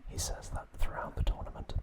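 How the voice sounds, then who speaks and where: Hushed ASMR whisperer, almost entirely unvoiced. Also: brisk. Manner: soft, unvoiced whispering throughout. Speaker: ASMR host. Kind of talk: whispered ASMR narration of episode trivia.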